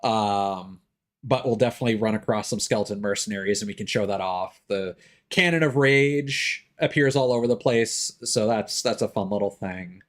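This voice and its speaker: A man's voice: fairly low pitch, moderate pace. A man working as a game streamer, chatting casually and explaining things in an informal way with some swearing. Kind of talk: casual livestream chat.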